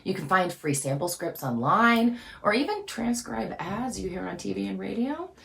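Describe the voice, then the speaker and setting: A woman's voice: mid-range voice, moderate pace, vocal fry. A woman hosting her channel, giving chatty, upbeat voice-over tips in a casual teaching style. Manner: upbeat, chatty, lively emphasis. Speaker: voice-over coach and channel host. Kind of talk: casual instructional talk to camera.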